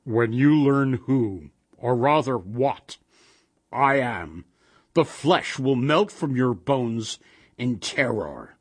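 A man voicing a menacing, humorless golem in a storybook reading, with harsh, monotonous, slow and loud portentous declarations. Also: medium pitch, husky, dry recording. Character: menacing gray-faced male golem, grandiose and humorless.

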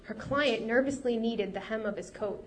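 A woman author reads her own fantasy novel aloud to an audience, steady and expressive. Her voice sits fairly high and sounds a little off as she recovers from a cold. Faint background noise runs under the reading. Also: moderate pace. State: getting over a cold.

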